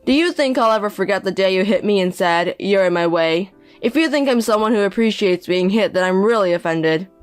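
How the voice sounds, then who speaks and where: A woman's voice: mid-range voice, lively intonation, fairly quick, no room sound, soft background music, natural voice. A woman voicing a young grown son who speaks curtly and coldly with contempt. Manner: cold, curt, contemptuous.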